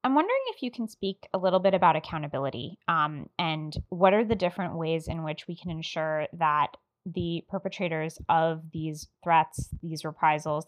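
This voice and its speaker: Low-voiced woman interviewer, dry sound. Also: dry recording. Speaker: podcast interviewer. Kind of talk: measured interview questioning.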